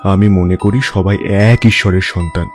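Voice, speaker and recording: Actor as a composed man with lowish voice. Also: steady tone, moderate pace, darkish tone, faint music behind, close to an everyday voice. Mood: earnest. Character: principled, calm, protective man defending outcasts. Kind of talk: Bengali audio story acting.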